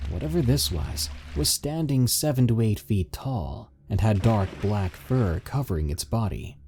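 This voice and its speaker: A man reading submitted encounter stories aloud in steady, measured scripted narration. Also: fairly low pitch.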